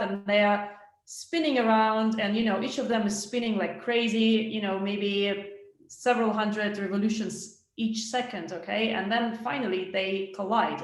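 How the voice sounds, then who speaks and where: Woman presenting informally in an echoey room. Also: medium pitch. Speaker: science writer presenting a webinar. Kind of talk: informal talk, storytelling about science. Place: indoor room, video call. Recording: roomy echo on video call.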